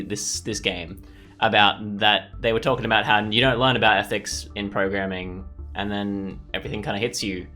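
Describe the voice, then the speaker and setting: Guy doing chill let's play chat, lowish but bright punchy voice, rambly and opinionated, faint music. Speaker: gaming video host. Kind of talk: casual let's play commentary. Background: faint game music.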